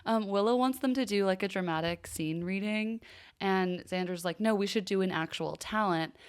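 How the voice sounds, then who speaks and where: A woman's voice: medium pitch, moderate pace, smooth. A woman co-hosting a casual TV recap podcast, enthusiastic and peppering her talk with "like". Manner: uses "like" constantly, enthusiastic. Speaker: podcast co-host.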